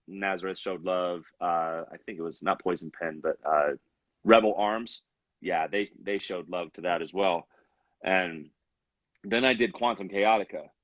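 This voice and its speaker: Young guy, rapper, kinda low voice, lots of ums and restarts, dry muffled sound. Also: no room sound. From Salt Lake City. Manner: rambling, many fillers and restarts. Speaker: rapper, interview guest. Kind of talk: casual interview chat.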